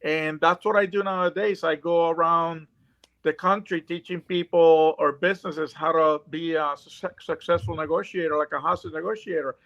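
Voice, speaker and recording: A man with a Puerto Rican accent joins by video call, voice fairly high for a man. He talks earnestly and haltingly, stopping often on fillers and restarts. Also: fairly even intonation, a bit bright, muffled recording. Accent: Puerto Rican Spanish. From Puerto Rico. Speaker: guest. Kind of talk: casual video call interview.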